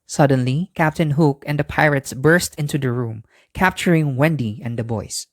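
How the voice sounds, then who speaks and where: A storyteller reads a children's bedtime tale from a script at a middle pitch. The sound is dry and close, with no room around it. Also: moderate pace, no echo.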